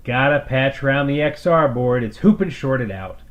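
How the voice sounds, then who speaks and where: A man's voice: mid-range voice, expressive, moderate pace, muffled recording, voice pushed up, natural voice. A man plays a woman mechanic in a space opera story. She is practical, slangy and matter-of-fact about the job. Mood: annoyed.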